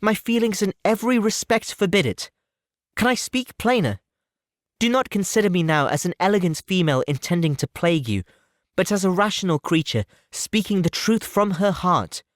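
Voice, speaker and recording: A man voicing a firm, earnest young woman who speaks in a measured way. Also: high-pitched, lively intonation, no echo, studio quality, voice pushed up.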